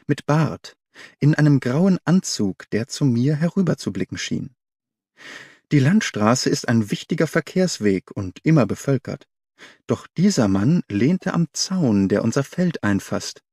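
A man reads a literary story as a narrator, in measured, clearly articulated phrases. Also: medium pitch, darkish tone, dry recording, studio quality. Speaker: audiobook narrator. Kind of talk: scripted literary narration, audiobook reading.

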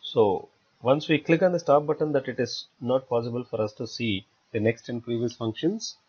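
A man giving step-by-step software tutorial narration as an instructor, in a halting, repetitive explanatory way. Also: mid-range voice, slightly rough. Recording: dull lo-fi voice-over recording.

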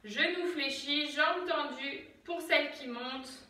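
A young woman leading a home workout, calmly counting reps and cueing breaths as she exercises in an attic room, recorded on a phone set far back. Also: high-pitched, takes it slowly, very echoey. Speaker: fitness instructor. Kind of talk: guided home workout instruction. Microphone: phone camera from a distance.

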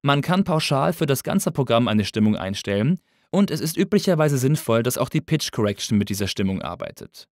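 A man narrating a scripted tutorial as an instructor, in a measured, explanatory way. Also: mid-range voice, very animated, a little mellow, no room sound, clean studio sound.

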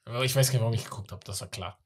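A man's voice: lowish voice, rapid-fire, breathy edge. A young man bantering casually and giving out clues in a guessing game. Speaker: quiz player giving clues. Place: small indoor podcast studio. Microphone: studio microphone on desk arm.

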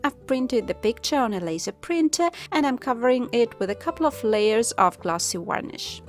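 A woman calmly narrating a step-by-step craft tutorial as the channel host, in voice-over. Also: a little high, moderate pace. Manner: calm, instructive. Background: soft background music.

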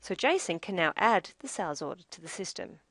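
A woman with a somewhat low voice narrates a software demonstration from a script. She explains each step in a measured way. The sound is close and dry, with very little room in it. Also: very animated, no room sound. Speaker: software demo presenter.

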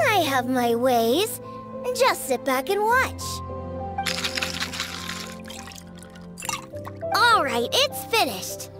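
Feisty little game girl, very high voice, slow deliberate delivery, scolding, long-suffering. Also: full character voice. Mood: dry, exasperated, then coaxing. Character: small feisty young cat-eared girl, exasperated daughter. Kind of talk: video game voice acting.

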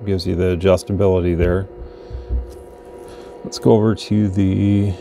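Male demonstrator, low and mellow, off-the-cuff delivery, audible noise behind him. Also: level tone, a little slow, creaky. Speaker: radio product demonstrator. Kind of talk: casual unscripted hands-on demo narration. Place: indoor electronics workbench. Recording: noticeable background noise.

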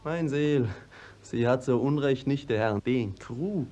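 An actor playing a blunt, indignant young peasant lad, emphatic and halting, in 1950s German radio drama style. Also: male voice, medium pitch, dark tone, natural voice.

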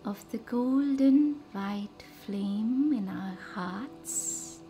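A woman leads a guided meditation in short, soothing, measured phrases with reverent pauses. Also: a little high, mellow. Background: music.

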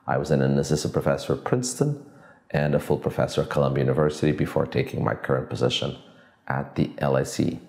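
A man in his forties from Portugal, an economics professor giving measured, academic interview answers in an office. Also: a bass voice, vocal fry, darkish tone, reverberant. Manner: measured, academic, thinks aloud with restarts. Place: office with glass walls, indoors.

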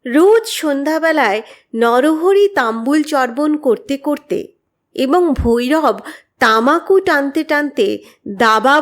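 A woman reads a horror story as a narrator, deliberately and expressively, with dramatic pauses. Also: sits high. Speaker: audiobook narrator.

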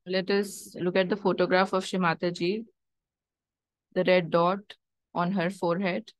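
A woman leads a guided meditation in a slightly low, very even voice. She gives simple instructions and prayers in short, measured phrases. The sound is close and dry, with long pauses between thoughts. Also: dry recording.

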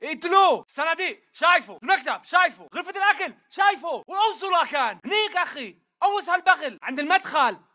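An actor voicing a hostile militant fighter in a video game, barking urgent combat callouts in Levantine Arabic. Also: male voice, very high voice, sing-song, fast, hardly any pauses, bright, forceful tone, shouting, heavily acted. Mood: aggressive, urgent. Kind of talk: shouted video game battle chatter.

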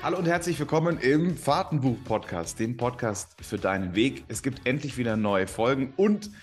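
A man's voice: medium pitch, expressive, moderate pace, over music. A man in his thirties hosting a podcast over a video call, upbeat and enthusiastic as he introduces a guest and asks questions. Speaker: podcast host. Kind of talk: scripted intro, then interview questions. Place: room with large windows, indoors.

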